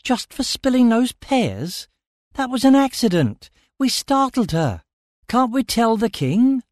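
A man's voice: very high-pitched, big pitch swings, takes it slowly, darkish tone, dry recording, clean studio sound, voice pushed up, exaggerated voice. A man voicing the impatient, bewildered hero of a story, firing off blunt, urgent questions. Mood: alarmed.

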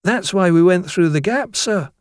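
A man's voice: high voice, lively intonation, moderate pace, dry recording, studio quality, voice pushed up. A man voicing an eager, earnest British schoolboy, polite and pleading, in an audiobook. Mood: eager explaining. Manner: breathless, earnest, deferential. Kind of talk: audiobook character voice.

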